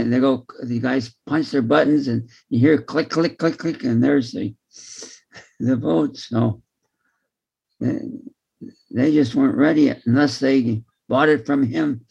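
A man in a casual group discussion, talking in a halting way and repeating words. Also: mid-range voice, vocal fry, a little mellow, slightly rough. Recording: slightly dull lo-fi call audio.

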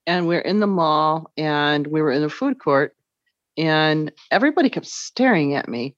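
A woman's voice: low voice, moderate pace, no room sound, muffled recording. A woman in a book club chat, telling stories with acted-out quotes. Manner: animated storyteller, acts out quotes. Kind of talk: casual group chat.

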